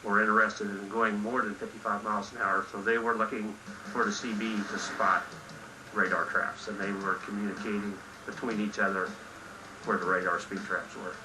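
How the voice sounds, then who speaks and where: American man, interview explainer, matter-of-fact, measured, roomy recording, clear background noise. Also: lowish voice, flat delivery. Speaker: CB radio company spokesman interviewee.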